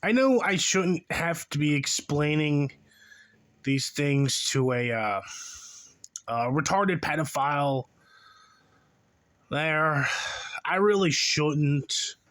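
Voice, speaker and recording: A man's voice: fairly high pitch, expressive, takes it slowly, pauses often, fairly bright tone. A man in his twenties hosting a reaction video, giving sarcastic, exasperated commentary that mocks the person in the clip. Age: 20s. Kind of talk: mocking commentary over a clip.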